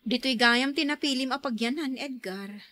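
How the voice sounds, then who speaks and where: High, bright-voiced caring elder sister, woman acting. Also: moderate pace. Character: caring, practical grown daughter and elder sister. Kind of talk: radio drama acting.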